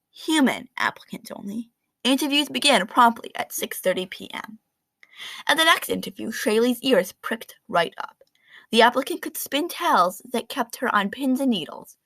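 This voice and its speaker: A woman reading a children's picture book aloud in an expressive, animated storytelling manner with dramatic emphasis. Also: fairly high pitch, dry recording.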